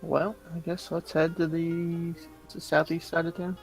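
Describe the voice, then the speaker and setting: A man playing a tabletop roleplaying game, chatting casually in character in a deliberate, understated way. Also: a little high, monotone, creaky, soft background music, rough recording.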